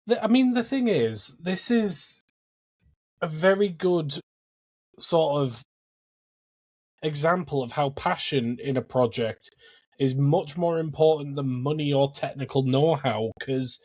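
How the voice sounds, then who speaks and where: A man's voice: on the high side, darkish tone. A man giving his opinions in a casual podcast chat, often repeating the start of a phrase as he goes. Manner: halting, repeats openings of phrases. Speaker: podcast guest.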